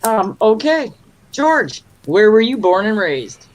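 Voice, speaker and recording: A woman's voice: mid-range voice, very animated, slow, low-quality recording. A woman hosting a casual interview podcast, asking her guest questions in a chatty way. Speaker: podcast host. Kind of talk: casual interview over a video call.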